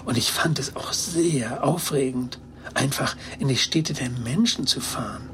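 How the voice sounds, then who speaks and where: A man voicing a young, earnest female dog who tells a story in a childlike, excited way, as in a children's storybook. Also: medium pitch, a little slow, background noise, lightly acted. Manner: childlike, excited storytelling with naive colloquial words. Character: Gretine, young female toy-rescuing dog, earnest and compassionate.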